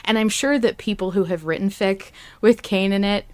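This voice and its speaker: A woman's voice: medium pitch, moderate pace. A woman hosting a casual fan podcast, talking in a chatty, enthusiastic way. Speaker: podcast host.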